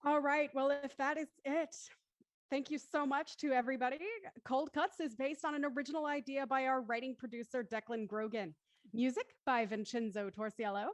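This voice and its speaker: A woman's voice: high voice, fairly even intonation, moderate pace, no echo. A woman hosting a casual online panel discussion. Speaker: host of a live online show.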